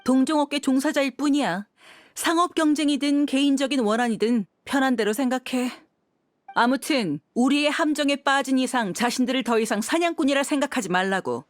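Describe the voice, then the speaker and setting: A woman's voice: high-pitched, fairly quick, dry recording, natural voice. An actress playing a cool-headed, taunting female ambusher in a game, smug and menacing. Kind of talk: dramatic Korean game voice acting.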